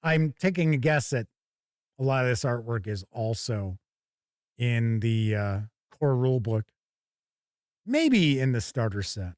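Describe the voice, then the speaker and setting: A man's voice: mid-range voice, very animated, a little slow, long pauses, a little mellow, dry recording, clean studio sound. A man hosting a tabletop gaming show, chatting casually and thinking aloud as he gives live first-look commentary.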